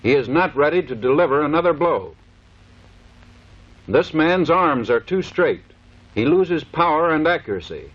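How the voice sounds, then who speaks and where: A man's voice: fairly high pitch, sing-song, moderate pace, pauses often. An American man giving formal, clipped, scripted instructional narration in the manner of a 1930s military training film. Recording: dull vintage 1930s film soundtrack.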